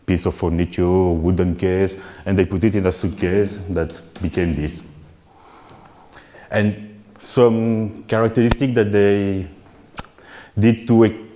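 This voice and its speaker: A man, giving a live keynote talk at a synth meetup in a relaxed, self-deprecating way with frequent restarts. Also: low-pitched. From Belgium. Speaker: keynote speaker. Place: event venue before an audience.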